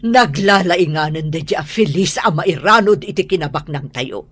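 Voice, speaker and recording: A woman's voice: low voice, animated, normal speed, breathy edge, no echo. A woman acting a stern, proud middle-aged mother in a radio drama. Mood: angry. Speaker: mother disowning her son.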